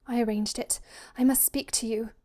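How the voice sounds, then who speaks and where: A woman voicing a frightened, pleading young daughter in an audiobook story, with a breathy, hurried, anxious delivery. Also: sits high, pitched above the performer's own voice, natural voice. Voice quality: breathy, gasping.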